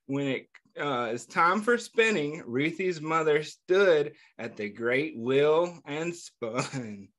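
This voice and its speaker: A man reading a picture book aloud to young children, pausing often to ask them questions and praise their answers, and calling himself by name in the third person. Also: a little high, animated. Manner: gentle, patient, asks questions and pauses for answers. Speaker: children's story reader.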